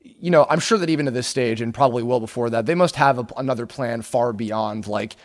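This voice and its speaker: A man's voice: mid-range voice, brisk. A man hosting a casual fan podcast, talking in a rambling way with many restarts and fillers, and joking with his guest. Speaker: podcast host.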